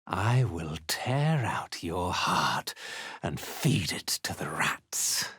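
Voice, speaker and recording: A man's voice: low-pitched, big pitch swings, extremely slow, fairly bright tone, breathy edge, no echo, clean studio sound, exaggerated voice. An actor playing a haughty, cruel male zealot commander in a fantasy game, with an imperious, contemptuous manner. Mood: contemptuous, menacing. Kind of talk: dramatic video game character lines.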